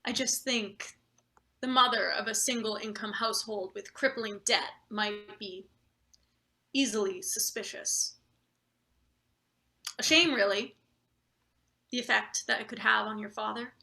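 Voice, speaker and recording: Menacing female boss, acted by a woman, bright, forceful voice with fry, impatient and insinuating, stage table read. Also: medium pitch, unhurried, pauses often. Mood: veiled menace. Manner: pointed, insinuating. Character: coldly manipulative female boss, impatient and threatening.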